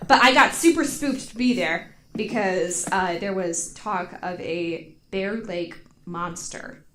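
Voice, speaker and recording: A woman's voice: fairly low pitch, takes it slowly, a bit bright, slight background noise. An American woman telling a story casually on a podcast, informal and giggly, peppering her talk with "like". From United States.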